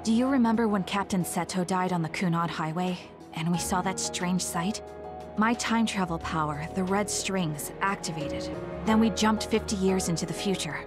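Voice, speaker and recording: A woman voicing a serious young female platoon leader, measured and formal, in an anime-style game. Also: on the low side, music in the background, lightly acted. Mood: grave.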